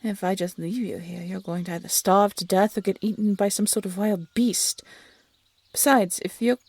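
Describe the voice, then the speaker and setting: A woman playing a blunt, sarcastic young huntress, a soft-hearted failed assassin, in an audio roleplay drama. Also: medium pitch, steady tone, moderate pace, breathy edge, no echo. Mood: wry, grudging.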